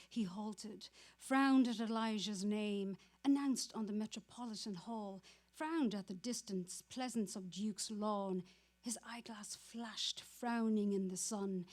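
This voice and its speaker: Female narrator, mid-pitched and unhurried, scripted dramatic literary reading. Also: darkish tone.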